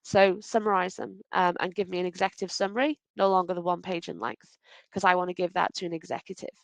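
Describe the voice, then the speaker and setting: A woman's voice: lowish voice, fairly even intonation, fast talker, vocal fry, no room sound, rough recording. A woman from Wales with a faint Welsh accent, presenting a webinar over a video call in a conversational, practical way. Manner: conversational, practical, self-correcting.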